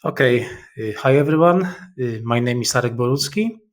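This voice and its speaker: A middle-aged man with a Polish accent, a guest author answering interview questions in careful, halting English with frequent pauses. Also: medium pitch, animated. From Poland.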